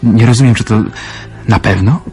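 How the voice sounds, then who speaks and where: A man's voice: fairly low pitch, normal speed, mellow, slightly rough, faint music behind. Hubert, a man playing a hesitant resistance man. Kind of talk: radio play acting.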